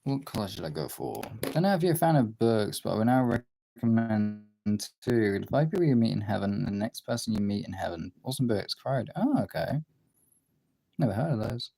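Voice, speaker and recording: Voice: mid-range voice, big pitch swings, a little fast, vocal fry, mellow, slightly rough, no room sound, rough recording. A livestream host with a congested, tired voice, chatting casually and informally to viewers while drawing, rambling and swearing now and then.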